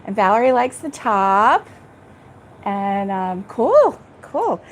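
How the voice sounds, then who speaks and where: A woman's voice: mid-range voice, very animated, slow pace. A woman hosting a casual crochet livestream from a back porch, chatting with a friend and greeting viewers by name, laughing often. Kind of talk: casual live chat with viewers. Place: back porch, indoors, air conditioned.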